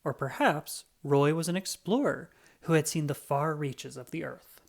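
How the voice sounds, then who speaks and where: A narrator reading a children's storybook aloud in a dramatic, expressive way. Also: medium pitch, moderate pace, gravelly. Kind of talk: animated scripted storybook read-aloud.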